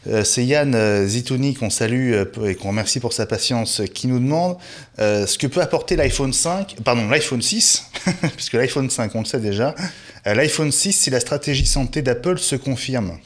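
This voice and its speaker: A man's voice: medium pitch, normal speed. A man hosting a tech panel discussion, asking questions with many hesitations and fillers. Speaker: host.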